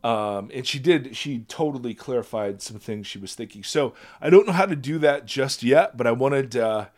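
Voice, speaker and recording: A man hosting a podcast in casual chat, his voice raspy from a virus, rambling and self-deprecating, often laughing. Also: mid-range voice, moderate pace. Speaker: podcast host.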